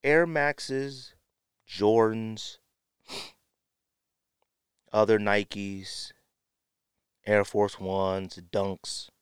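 A man's voice: lowish voice, fairly even intonation, drawn-out words, pauses often, smooth, dry recording, clean studio sound. A man hosting a podcast with opinionated commentary, sniffly from a runny nose and repeating phrases for emphasis. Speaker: podcast host. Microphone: podcast microphone, close.

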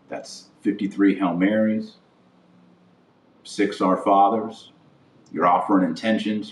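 Low male voice, blunt and emphatic, impassioned monologue, repetitive phrasing, roomy echo, distant from mic. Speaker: religious podcast host.

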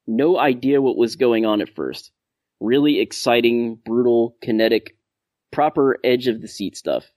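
A man's voice: mid-range voice, darkish tone, no room sound. The guy at the mic of a hobby podcast in a deadened little booth, casually working through the listener mail. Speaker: podcast host. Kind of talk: casual group discussion, reading out listener feedback.

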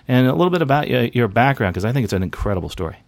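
A man's voice: medium pitch, big pitch swings, rapid-fire, no echo. A man hosting an interview, enthusiastic and often repeating words as he thinks aloud. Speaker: podcast host. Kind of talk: interviewing a guest.

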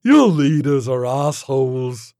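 A man's voice: medium pitch, sing-song, slow, warm tone, dry recording, studio quality, voice pushed up. A man voicing a huge tentacled alien in a story, brash, amused and breezily rude, with a folksy, profane manner. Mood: brash, matter-of-fact.

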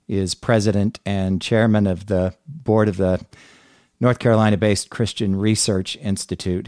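A man's voice: on the low side, darkish tone, no room sound, studio quality. A middle-aged man hosting an interview podcast. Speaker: podcast host.

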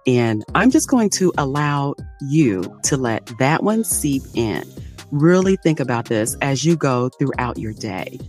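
A woman hosting a podcast interview, encouraging and animated, laughing often. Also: rumbling low. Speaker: podcast host. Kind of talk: friendly interview chat.

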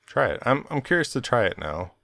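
A man's voice: medium pitch, very animated, brisk, vocal fry, fairly bright tone, dry recording. A man with a nasal voice co-hosting a casual podcast, talking in a laid-back, wry way. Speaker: podcast co-host. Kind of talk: casual chat.

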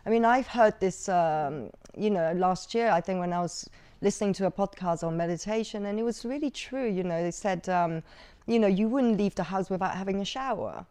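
A woman's voice: mid-range voice, a little fast, warm tone, clear, resonant tone. A woman guest in a casual interview, chatty, with frequent fillers and easy laughter.

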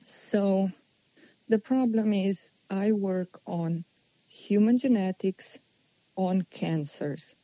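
A woman, answering interview questions unhurriedly and pausing as she thinks. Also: medium pitch, mellow, no room sound, lo-fi sound. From Slovenia. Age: 40s. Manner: unhurried, thoughtful, with many pauses and restarts. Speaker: guest.

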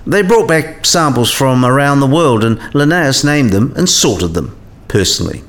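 A man's voice: mid-range voice, animated, normal speed, a bit bright. A man playing a learned professor in a radio play, explaining science history in a precise, didactic way. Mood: measured, instructive.

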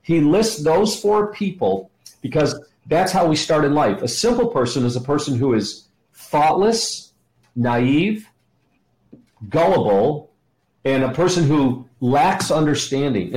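A man's voice: a little high, lively intonation, unhurried, a little mellow. A middle-aged American man teaching conversationally as an interview guest, enthusiastic and repeating himself for emphasis. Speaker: interview guest, ministry educator. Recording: roomy, echoing sound.

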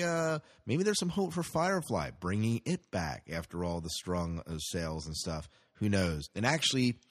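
An American man hosting a podcast in a casual, informal way, often hesitating and correcting himself. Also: lowish voice. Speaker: podcast host. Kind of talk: casual sci-fi news and movie segment talk.

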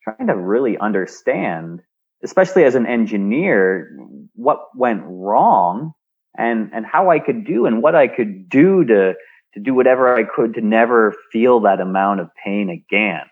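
A man's voice: on the low side, lively intonation, a little slow, husky, phone-quality sound. A Canadian man telling his story as an interview guest, often repeating and restarting words. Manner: frequent word repeats and restarts. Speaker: guest, speaker and engineer. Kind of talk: remote interview, reflective storytelling.

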